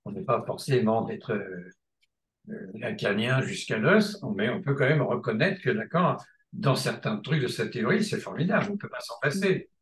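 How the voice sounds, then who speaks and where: A man's voice: mid-range voice, lively intonation, moderate pace. Hesitant male lecturer in an echoey room. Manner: hesitant, many restarts and filler sounds, emphatic repetition. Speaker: seminar lecturer in psychoanalysis. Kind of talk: spontaneous seminar teaching.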